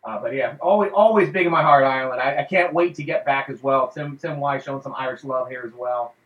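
A man's voice: mid-range voice, a little fast, phone-quality sound. A man hosting a casual fan show, rambling with lots of fillers and laughing often. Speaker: podcast host. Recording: roomy echo.

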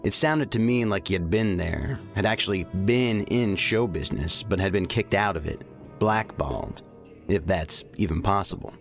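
An actor playing a blunt, stubborn special agent in an audio drama. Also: male voice, deep voice, moderate pace, creaky. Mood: pensive, puzzled. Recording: faint music behind the voice.

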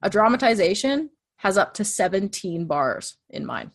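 A woman with a low-registered voice, chatting casually as she walks through her podcast process step by step. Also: big pitch swings, normal speed, vocal fry. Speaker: true crime podcast host. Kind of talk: casual conversation.

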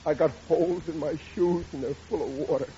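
A man's voice: fairly high pitch, steady tone, a little fast, mellow, natural voice. An actor playing a melodramatic, woeful middle-aged working-class father in 1940s radio comedy style, whining and ungrammatical. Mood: mournful daydreaming. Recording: dull noisy old radio recording.

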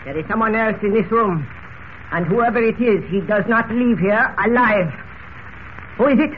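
Male actor as Egyptian villain, high voice, coldly polite, formal menace, 1940s radio drama, narrow tinny band. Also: moderate pace, natural voice. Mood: angry, alarmed. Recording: tinny, hissy old radio recording.

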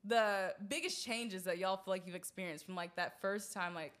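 A woman's voice: medium pitch, moderate pace, a bit bright. A woman in her late twenties hosting a casual interview, chatty and giggly with lots of fillers. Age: late 20s. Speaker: interviewer, host.

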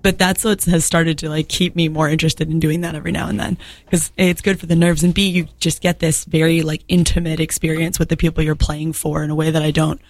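A musician guest on a radio show, answering in an animated, casual way with lots of "like" and "you know," and a naturally loud voice. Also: medium pitch, fast talker, no echo. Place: radio studio.

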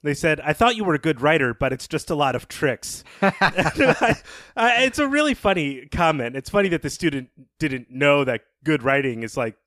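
A man's voice: on the high side, sing-song, moderate pace, fairly bright tone, no room sound, clean studio sound. A man co-hosting a podcast, giving conversational literary commentary in an appreciative, thoughtful, analytical way. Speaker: podcast co-host.

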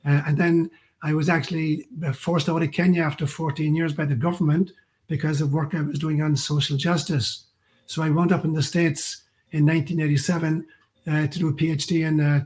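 A man's voice: on the high side, a little mellow. An older man with an Irish accent, telling his story conversationally as an interview guest. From County Cork, Ireland. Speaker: guest author and priest.

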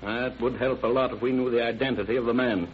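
An actor playing a businesslike, authoritative county sheriff who asks clipped questions in an old-time radio crime drama. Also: male voice, mid-range voice, fairly even intonation, fast talker, natural voice. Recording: tinny, noisy vintage radio recording.

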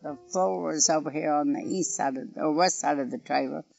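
A woman's voice: low-pitched, a little fast, vocal fry, a little mellow. A middle-aged woman with a rural Oklahoma accent, recounting events first-hand in a blunt, matter-of-fact, conversational way. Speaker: interviewee, mother recounting events. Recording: muffled interview recording, music underneath.

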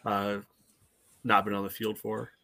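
Bright-voiced man giving wry sports opinions. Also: lowish voice, completely flat delivery, a little slow, vocal fry. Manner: wry, blunt, peppers talk with "you know". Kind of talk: casual sports fan commentary.

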